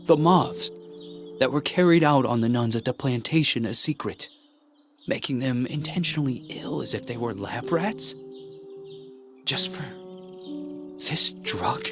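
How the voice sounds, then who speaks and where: An actor playing a serious, incredulous questioner in a dramatic game cutscene. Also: male voice, medium pitch, unhurried, pauses often, over music, close to an everyday voice.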